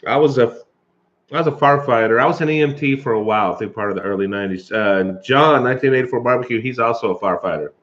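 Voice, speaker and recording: A man's voice: mid-range voice, fast talker, a bit bright, dull audio. A man hosting a live stream, chatting loosely with viewers, chuckling often and coughing from allergies. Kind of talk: casual chat with live viewers.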